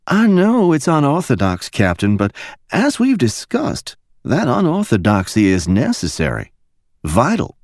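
A man voicing a poised woman security officer who speaks softly and persuasively in a silky Alabama drawl. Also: medium pitch, huge pitch swings, a little slow, no echo, studio quality, pitched above the performer's own voice. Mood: silky, insistent. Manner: silky, soft-spoken.

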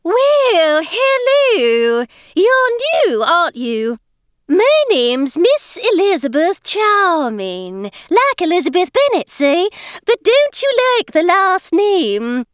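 A woman's voice: extremely high pitch, very animated, slow, no echo, clean studio sound, pitched above the performer's own voice, exaggerated voice. A woman reading aloud, voicing a chirpy woman in her fifties who is an American faking a British accent and overpronouncing her words. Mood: gushing. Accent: American trying to sound British. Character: gushing fiftyish woman faking British airs.

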